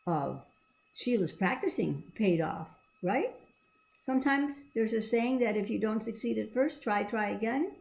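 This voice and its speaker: A woman's voice: fairly high pitch, gravelly, a little mellow, rough recording. A woman reading and explaining a storybook to children in a simple, encouraging, teacherly manner. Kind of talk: read-aloud with explanatory asides to children.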